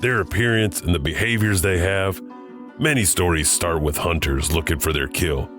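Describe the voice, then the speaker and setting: A man's voice: deep voice, moderate pace, over music. A man hosting and narrating a horror podcast in a conversational, slightly wry way. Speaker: host and narrator. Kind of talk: scripted narration.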